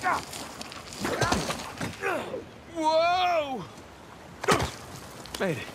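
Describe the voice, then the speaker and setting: A man's voice: extremely high pitch, very animated, extremely slow, heavy background noise, heavily acted. An American man playing a wry, restless young treasure hunter in a video game, talking casually and often muttering to himself. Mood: strained, wry. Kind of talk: naturalistic video game acting. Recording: game ambience behind the voice.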